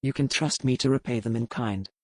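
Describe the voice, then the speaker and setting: Male actor as a cold, sardonic earl for romance audiobook; deliberate, menacing, dry close sound. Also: medium pitch, a little mellow, dry recording, lightly acted. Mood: cold threat. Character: cold, sardonic, menacing young English earl, dangerous rake. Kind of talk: audiobook character acting.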